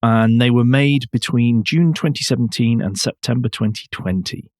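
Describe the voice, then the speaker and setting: A man's voice: mid-range voice, moderate pace, a little mellow, no room sound, studio quality. A man co-hosting a car news podcast in a conversational way, with a wry, blunt manner. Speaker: podcast co-host.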